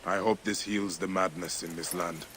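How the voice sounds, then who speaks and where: A man's voice: low voice, steady tone, normal speed, a bit bright, a little hiss or hum. A man playing a grieving, vengeful Egyptian warrior in a video game, speaking in a grave, blunt, terse manner. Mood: grim resolve. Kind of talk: dramatic video game acting.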